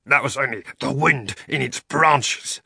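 A man's voice: mid-range voice, measured pace, vocal fry, pushed and bright, husky, no room sound, studio quality, pitched above the performer's own voice, heavily acted. A man voicing a stubborn, dismissive warthog in a storybook, with a matter-of-fact, dogged manner.

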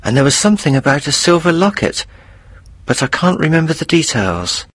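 Man playing a teasing, animated teenage brother. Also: fairly high pitch, fairly quick, slightly rough, no room sound, pitched above the performer's own voice, heavily acted. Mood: eerie, excited. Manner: taunting, exaggerated, animated. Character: teasing teenage brother, mischievous and mock-spooky. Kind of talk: audiobook character voice for language learners.

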